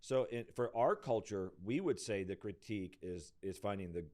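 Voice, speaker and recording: Hoarse, halting middle-aged man. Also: lowish voice, expressive, a little mellow. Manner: halting, many restarts, thinks aloud. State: losing his voice. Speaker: pastor and podcast co-host. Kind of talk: casual conversational discussion.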